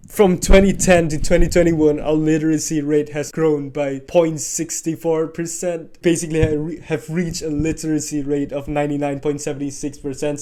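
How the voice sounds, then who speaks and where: Brief: young man, slightly high voice, mellow tone, candid and sarcastic vlog talk. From Indonesia. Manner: candid, sarcastic, exclaiming asides. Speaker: vlogger. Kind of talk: casual vlog commentary over on-screen data.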